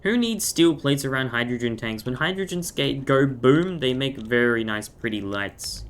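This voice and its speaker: A man with an Australian accent and a croaky voice, chatting playfully and joking while he streams a game. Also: medium pitch, animated, moderate pace. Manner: joking, playful, self-mocking. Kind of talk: casual gaming chat.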